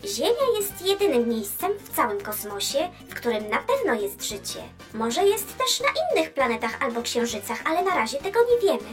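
A woman voicing a chatty, cheerful female space probe who explains science in a children's educational cartoon, teasing and lively. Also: high-pitched, moderate pace, few pauses. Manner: bubbly, lively, playfully teasing. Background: background music.

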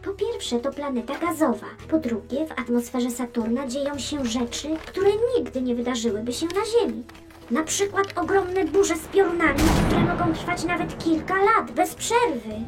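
A woman voicing a cheerful, curious, gently teasing space probe in a children's educational audio drama. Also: high voice, lively intonation, normal speed, over music. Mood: informative. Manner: playful, clear storytelling explanation.

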